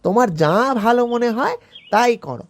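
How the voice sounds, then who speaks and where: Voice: mid-range voice, big pitch swings, moderate pace, no room sound. An actor voicing a weary, mild-tempered, henpecked uncle in a Bengali cartoon. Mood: weary, resigned. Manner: resigned, gently reproachful. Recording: music behind the voice.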